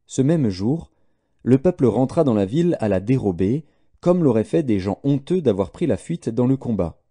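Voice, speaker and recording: Male narrator, scripture audiobook, solemn, measured, very mellow dark timbre, dry recording. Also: on the low side, dry recording.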